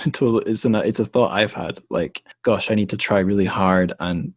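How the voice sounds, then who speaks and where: Man, podcast host, very low voice, thoughtful, self-deprecating, dry close sound. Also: normal speed, breathy edge, dry recording. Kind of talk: casual interview conversation.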